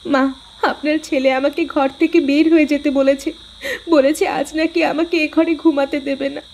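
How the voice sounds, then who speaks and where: High-voiced young cartoon wife acted by a woman. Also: level tone, moderate pace, a little mellow, a little hiss or hum. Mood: pleading. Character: young village wife, pouty and sweetly dutiful. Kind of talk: cartoon voice acting.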